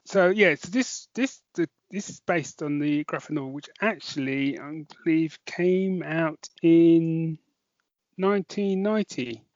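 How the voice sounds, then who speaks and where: A man's voice: on the high side, unhurried, no room sound, lo-fi sound. A middle-aged British man co-hosting a podcast review in an informal, rambling, conversational way. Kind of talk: casual chat podcast review.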